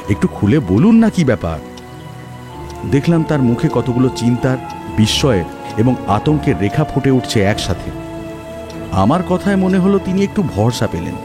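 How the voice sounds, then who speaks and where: Male horror audiobook narrator; lively intonation, theatrical storytelling over light background music. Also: medium pitch. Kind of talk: dramatic scripted horror story narration in the first person. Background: music, rain.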